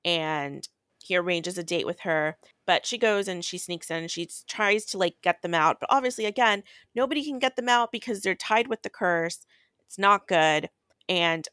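A woman's voice: on the low side, fairly bright tone, smooth, no echo, studio quality. A woman co-hosting a podcast, giving a casual book recap in a blunt, exasperated way and swearing freely. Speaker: podcast co-host.

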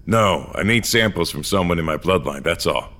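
Very deep, weary augmented old-timer. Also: male voice, animated, bright, forceful tone. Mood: defensive, pleading. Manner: hesitant, halting, low-key. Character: guilty, weary 150-year-old augmented great-grandfather, self-absorbed. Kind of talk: audio fiction acting with first-person narration.

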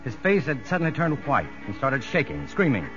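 A firm but humane American prison doctor, mid-pitched with expressive rise and fall. He speaks in measured, educated, authoritative phrases. It sounds like a muffled vintage radio drama. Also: vocal fry, music in the background. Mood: reflective. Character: firm, humane male prison doctor, first-person narrator. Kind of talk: 1950s radio drama acting and narration.

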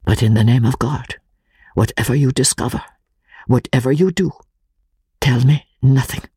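A man playing an anguished, honour-bound foreign gentleman who makes a fearful confession in a hushed, pleading voice, in a dramatic audiobook reading. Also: medium pitch, normal speed, husky, no echo, studio quality. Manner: hushed, emphatic, solemn pleading.

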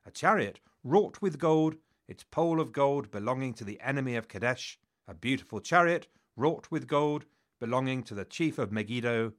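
A man's voice: mid-range voice, a bit bright, natural voice. A man playing an Egyptian royal scribe, formally reciting in a dramatic reading.

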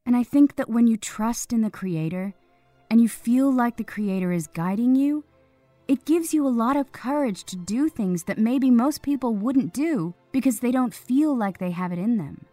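Woman reading a feature, mellow, dry sound. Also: a little high, animated, moderate pace, no echo, faint music behind. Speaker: article reader. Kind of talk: scripted narration of a long-read article.

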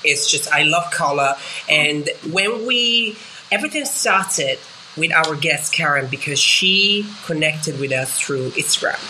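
A woman's voice: low voice, bright, forceful tone. A woman co-hosting a podcast, talking with enthusiasm in long run-on sentences. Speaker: podcast co-host. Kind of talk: casual interview chat. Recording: noisy background.

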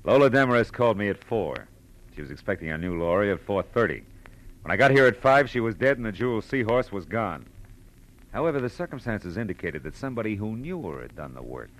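An actor with an American accent playing a shrewd, clipped, wry private detective in 1940s radio style. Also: male voice, lowish voice, big pitch swings, fast, low-quality recording. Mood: accusing. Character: hard-boiled male private detective, brisk, shrewd and sure. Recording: old radio drama recording, music behind.

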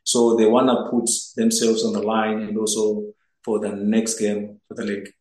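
An earnest young goalkeeper originally from Zimbabwe, answering questions in a flat, even voice that barely rises or falls. He stumbles and repeats words as he gathers his thoughts. The sound carries a noticeable room echo. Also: lowish voice, normal speed. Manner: earnest, repeats words while searching for them. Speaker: footballer, goalkeeper. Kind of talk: interview answers over a remote link. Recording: echoey room.

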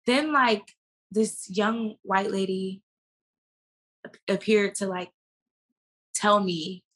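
A woman guest talking casually and informally in an interview, slangy and animated as she tells stories and quotes herself. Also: medium pitch, slow. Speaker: podcast guest, actress and business owner.

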